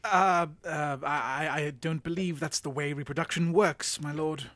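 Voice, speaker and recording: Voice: mid-range voice, steady tone, measured pace, fairly bright tone, natural voice. An actor playing a meek, long-suffering manservant in a comedy audio drama, hesitant and formally polite. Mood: deferential.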